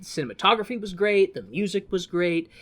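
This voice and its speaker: A medium-pitched podcast co-host talks in a casual, unscripted way. The delivery is animated and conversational, like someone retelling a film to a friend. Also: a little fast. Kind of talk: casual chat recapping a film.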